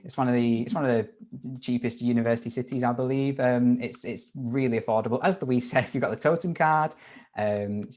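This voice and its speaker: A man's voice: medium pitch, darkish tone. Guy running a uni webinar q&a, chatty, lots of ums, video call mic. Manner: upbeat, informal, lots of fillers and restarts. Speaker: university webinar host. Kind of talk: live webinar Q&A.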